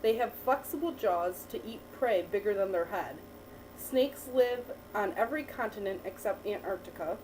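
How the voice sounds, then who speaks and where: A woman teacher reading a picture book aloud to a child, pausing to ask questions and praise the answers. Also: medium pitch, expressive, a little mellow. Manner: patient, encouraging, asks and praises. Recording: distant mic with faint background noise.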